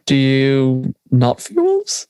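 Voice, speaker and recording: A woman's voice: a bass voice, huge pitch swings, slow pace, dark tone, clear, resonant tone, no room sound, exaggerated voice. A young woman playing a teasing, laid-back school friend in an audio drama, with casual, brief replies. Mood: puzzled, casual.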